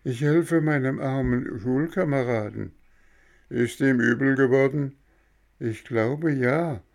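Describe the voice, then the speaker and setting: A man voicing a frightened, stubborn wooden puppet boy, wailing and pleading in a high, strained voice. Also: slow, gravelly, darkish tone.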